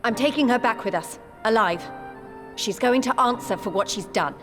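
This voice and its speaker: An actress playing a fierce, righteous young freedom fighter in a game cutscene, blunt and impassioned. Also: female voice, on the high side, normal speed, music in the background, close to an everyday voice. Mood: grim resolve. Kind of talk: dramatic game cutscene acting.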